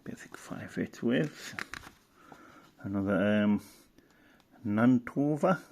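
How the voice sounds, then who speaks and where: Male hobbyist presenter, lowish pitch with heavy vocal fry, off-the-cuff and halting delivery. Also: expressive, darkish tone, slight background noise. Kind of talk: casual unscripted commentary while browsing a catalogue.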